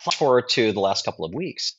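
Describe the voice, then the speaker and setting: A man's voice: on the low side, big pitch swings, quick pace, husky. A man giving conversational opinion commentary as a guest, blunt, informal and emphatic. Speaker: guest commentator, political strategist and writer. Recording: slightly dull, lo-fi audio.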